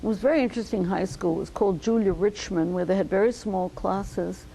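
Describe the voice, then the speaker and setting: An older woman, a physician, telling her life story slowly and thoughtfully in an oral history interview. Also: mid-range voice, creaky, mellow, slight background noise, rough recording. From United States. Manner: measured, reflective storytelling.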